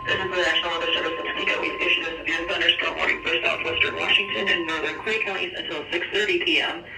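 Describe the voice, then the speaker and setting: A man's voice: a little high, little pitch movement, quick pace, creaky, very noisy. A man working as a weather service radio operator, reading formal warning bulletins over a two-way radio. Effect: two-way radio transmission. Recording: echoey, distant radio transmission pickup.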